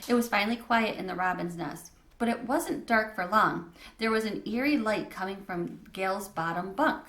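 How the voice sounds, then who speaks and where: A woman narrating a children's storybook aloud with expressive reading. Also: mid-range voice. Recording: echoey room.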